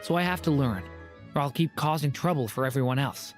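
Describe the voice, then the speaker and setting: A woman's voice: rumbling low, brisk, faint music behind. A woman playing an earnest, naive young dragon child in a fantasy game, speaking in a thoughtful, wondering way.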